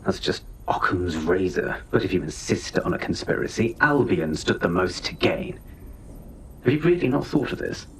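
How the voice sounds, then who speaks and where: A man's voice: low voice, sing-song, moderate pace, slight background noise, poor audio. An actor playing an arrogant captured spy, lecturing his captors with contempt. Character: arrogant captured male spy, scornful and smug. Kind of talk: video game cutscene acting.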